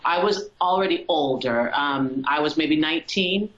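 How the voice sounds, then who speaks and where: A woman from Colorado, a musician, talking as an interview guest over a video call in a warm, reflective way, with frequent ums. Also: deep voice, normal speed, reverberant, dull audio. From Colorado, USA. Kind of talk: casual interview conversation.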